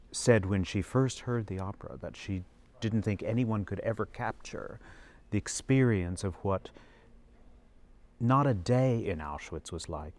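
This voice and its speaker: A man speaking reflectively in an interview, in a measured, solemn and emphatic way. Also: low voice, a little mellow.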